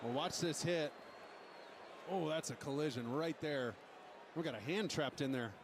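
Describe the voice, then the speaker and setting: A male colour commentator breaks down football plays casually. His voice is fairly high, with clear stadium noise around it on the broadcast feed. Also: moderate pace.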